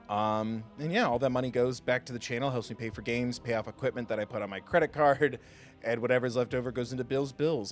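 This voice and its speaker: A man hosting a video game livestream, chatting casually and haltingly with viewers in a self-deprecating, joking way. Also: mid-range voice. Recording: music behind the voice. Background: music.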